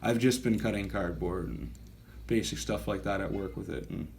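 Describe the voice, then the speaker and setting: A man in his thirties with a fairly low voice chats through a casual gear review. He rambles, corrects himself mid-sentence and sounds enthusiastic about what he shows. A faint hum of room ambience sits behind him. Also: moderate pace, gravelly, a little mellow. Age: 30s. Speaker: knife reviewer.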